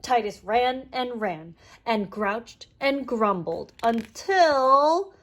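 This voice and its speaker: High-voiced, expressive woman reading a storybook. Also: very slow. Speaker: children's audiobook reader. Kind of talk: animated storybook narration.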